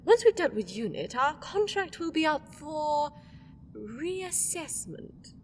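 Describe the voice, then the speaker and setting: A woman's voice: sits high, sing-song, slow pace, slight background noise, full character voice. A woman playing a scheming, mocking villainous empress in an audio drama. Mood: taunting. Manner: taunting, sing-song, petulant. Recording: reverberant room, faint ambience.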